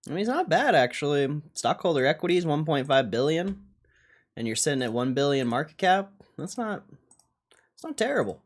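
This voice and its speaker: A man's voice: fairly high pitch, sing-song, fairly quick. A man livestreaming casual stock commentary, thinking aloud in a blunt, slangy, offhand way with plenty of swearing. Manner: foul-mouthed, blunt.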